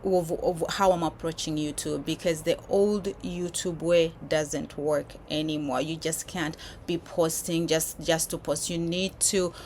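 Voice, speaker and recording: Woman creator, low voice, rambling casual vlog delivery, faint noise behind. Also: fairly even intonation, unhurried, few pauses, a little mellow. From Uganda. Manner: rambling, many restarts and fillers. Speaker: YouTube creator. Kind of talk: casual talk to camera. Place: filming while traveling abroad.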